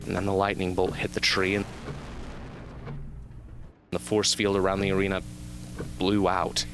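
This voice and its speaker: A man playing a young, haunted but earnest arena survivor with intense, deliberate delivery in an amateur radio drama. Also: low voice, completely flat delivery, over music. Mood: haunted, reflective. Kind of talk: amateur radio drama acting, interview scene.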